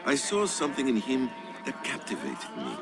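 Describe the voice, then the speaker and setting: An actor playing a courteous, measured master of a secret assassin brotherhood in a video game, eloquent and philosophical. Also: male voice, medium pitch, huge pitch swings, over music. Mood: reflective, courteous. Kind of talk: video game cutscene acting.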